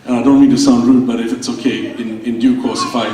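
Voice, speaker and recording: A man's voice: mid-range voice, little pitch movement, quick pace, dark tone, recorded from a distance, a little hiss or hum. A man with a mixed Indian and Australian accent giving a formal welcome speech at a school event, with fillers and restarts. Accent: mixed Indian and Australian English. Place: school event hall with audience. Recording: echoey hall, mic heard from afar.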